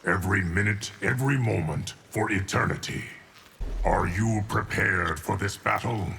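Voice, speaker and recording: A solemn, ageless guardian from a fighting game. The voice is deep and creaky, with grave, measured, stoic delivery and faint noise behind it. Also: male voice, pushed and bright, long reverb tail. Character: Geras, ancient, solemn male eternal guardian. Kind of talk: fighting game character banter.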